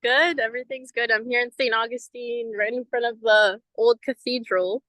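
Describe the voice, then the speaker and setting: Bright-voiced young woman, chatty. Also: on the high side, fairly even intonation, moderate pace, no echo, low-quality recording. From Venezuela. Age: 20s. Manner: chatty, friendly, many ums and likes. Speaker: guest, ministry program member. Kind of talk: casual interview chat. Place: outdoors in front of a cathedral.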